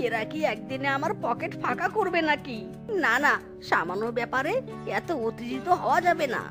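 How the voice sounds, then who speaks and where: A woman voicing a hapless, henpecked fox husband who exclaims, complains and coaxes in a comic cartoon folk tale. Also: high voice. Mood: exasperated. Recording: children's cartoon music behind the voice.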